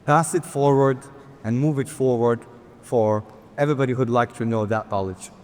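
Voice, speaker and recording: A man's voice: medium pitch, normal speed, darkish tone. A man giving a conference presentation, earnest with touches of light humor, asking for the next slides. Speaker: conference speaker. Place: large conference hall. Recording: long hall reverb.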